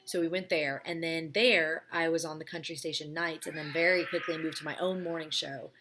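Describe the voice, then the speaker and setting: Low raspy woman chatting at home. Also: steady tone, fairly quick, noisy background. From United States. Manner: chatty, rambling with many ums. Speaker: vlogger answering viewer questions. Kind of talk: casual chat. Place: home, child heard playing in background.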